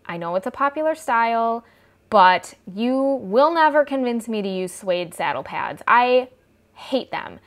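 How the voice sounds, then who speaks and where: A woman's voice: mid-range voice, fairly bright tone. A woman in her twenties with a Midwestern American accent, giving a chatty, informal product review as a vlogger. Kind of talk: casual product review to camera. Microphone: clip-on lapel mic.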